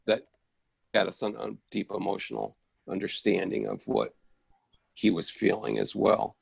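A man with a heavily creaky, fairly low voice offers feedback in a reflective conversation. He sounds husky and close, earnest as he searches for the right words. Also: very animated, normal speed, no room sound. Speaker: audience member giving feedback on a play. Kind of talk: casual, reflective discussion.

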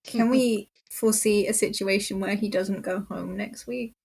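A woman's voice: medium pitch, fairly even intonation, normal speed, creaky, a crowd in the background. A woman podcast panellist chatting casually in a playful, giggly manner. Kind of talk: casual chat.

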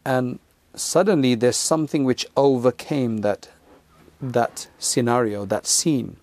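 A man's voice: medium pitch, takes it slowly, a little mellow, dry recording. A man teaching a religious lesson, retelling stories and quoting Arabic before explaining them in English with frequent asides. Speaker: Islamic teacher. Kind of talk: religious lecture retelling hadith.

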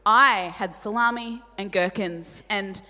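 A woman in her forties with an Australian accent, a native Polish speaker, preaching a sermon with animated, self-deprecating storytelling and questions to the audience. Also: medium pitch, takes it slowly. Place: large church hall. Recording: church hall reverb.

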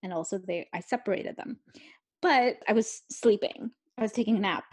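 A young woman speaks in a breathy, slightly husky voice. She rambles candidly, full of filler words and quick laughs. Her pitch sits in a middle range. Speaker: author. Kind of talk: candid conversational talk.

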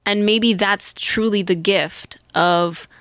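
A woman's voice: mid-range voice, fairly even intonation, takes it slowly, fairly bright tone, no echo, clean studio sound. A young woman with a Northern Californian accent, speaking as an interview guest. She talks in an earnest, reflective way, thinking aloud and restarting her sentences. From Northern California, United States. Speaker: interview guest, actor and musician. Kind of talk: candid conversational interview.

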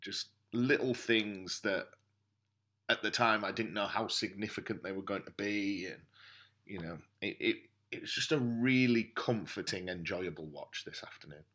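A man as a podcast guest, giving casual opinions in a measured, reflective way. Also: fairly low pitch, bright, forceful tone. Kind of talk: casual review chat.

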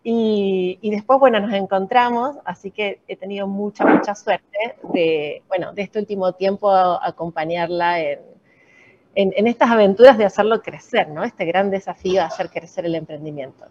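An Argentine-accented woman explains her scientific work in a measured way, with fillers between her points. Her voice sits at an ordinary middle pitch. The recording is dull and lo-fi. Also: a little mellow. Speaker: chief science officer, guest. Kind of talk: casual interview.

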